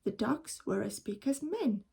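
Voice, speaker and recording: A woman reading a children's story aloud in an animated, deliberate storytelling manner, as a teacher does. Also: mid-range voice, creaky, breathy edge.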